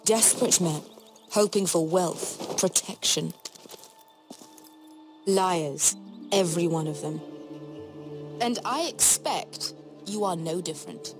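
An actress playing an imperious, suspicious young queen in a dramatic video game, speaking in a measured, regal and threatening way. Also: on the low side, music in the background. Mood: cold threat.

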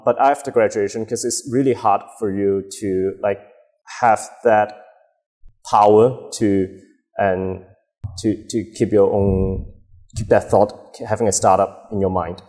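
Young male guest, lowish voice, halting, filler-heavy delivery, lapel mic. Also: fairly even intonation, darkish tone. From Hong Kong. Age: 20s. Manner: hesitant, many fillers and restarts. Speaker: guest, bar co-owner. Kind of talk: casual interview answers. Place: dim bar set with a host.

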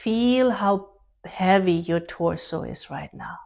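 A woman leading a guided relaxation meditation as an instructor, speaking calm, gentle instructions in short phrases with pauses between them. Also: fairly low pitch, gravelly, lo-fi sound.

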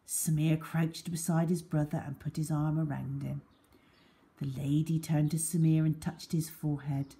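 A woman reading a children's story aloud in a deliberate, dramatic way. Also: sits low, flat delivery, dark tone.

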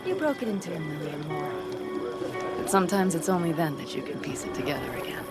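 An actress playing a fierce but warm-hearted young nomad clan leader in a video game, speaking bluntly and with emphasis. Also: female voice, low-pitched, very animated, fast talker, loud music behind the voice. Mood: wry, sincere. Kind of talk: video game cutscene dialogue.

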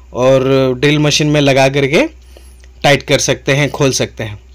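A man with an Indian accent giving an informal product demonstration, explaining in a repetitive way and mixing in English words. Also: mid-range voice. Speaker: tool seller demonstrating products.

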